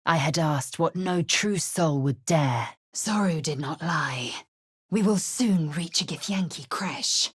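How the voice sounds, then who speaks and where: A woman playing a fierce, proud githyanki warrior in a video game, with a husky, raspy voice and a clipped, haughty, formal manner. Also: low voice, lively intonation, slow pace, dry recording, studio quality, exaggerated voice. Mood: proud, scornful. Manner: clipped, haughty, imperious, formal archaic phrasing.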